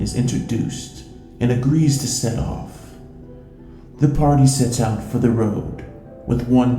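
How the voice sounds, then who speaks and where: A man's voice: medium pitch, dark tone. A synthetic-sounding man narrating fantasy scenes as a game master. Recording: echoey, faint music underneath.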